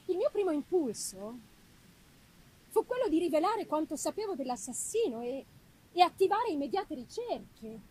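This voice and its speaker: A woman performing an anguished, haunted young male scientist in a dramatic, halting gothic literary reading, emphasizing and repeating words. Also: high voice, sing-song, dark tone, poor audio. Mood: grave, haunted.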